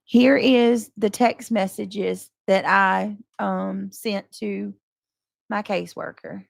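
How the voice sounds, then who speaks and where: A woman hosting a casual livestream monologue in a mid-pitched voice with a gravelly fry. She sounds exasperated and defensive, leaning on her points emphatically and sometimes swearing. The sound is close and dry. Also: steady tone, takes it slowly, dry recording. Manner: coarse, swearing. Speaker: livestream host addressing subscribers and moderators.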